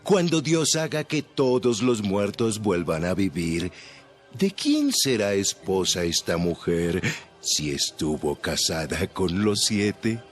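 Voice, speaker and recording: A challenging religious sceptic, played by a man in an audio Bible drama. The voice is low and slow, with animated intonation and a pointed, deliberate delivery. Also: faint music behind. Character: challenging male religious sceptic posing a riddle.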